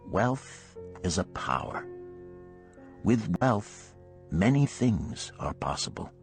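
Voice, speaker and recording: A man's voice: sits low, a little mellow, full character voice. A man voicing a wealthy, wise Babylonian man in a storybook, lecturing his friends in a grave, formal way. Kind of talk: storybook character reading.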